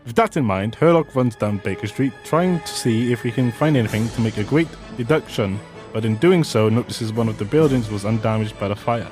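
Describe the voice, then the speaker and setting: A man's voice: medium pitch, fairly quick, few pauses, lightly acted. An actor playing a sardonic, theatrical male narrator who mocks the hero in a scripted comic radio drama. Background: music.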